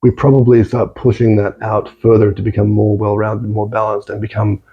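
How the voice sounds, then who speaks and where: A man's voice: lowish voice, level tone, fairly quick, creaky, lo-fi sound. A man with an Australian accent, a podcast guest talking thoughtfully and repeating words as he searches for phrasing. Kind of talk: reflective casual conversation.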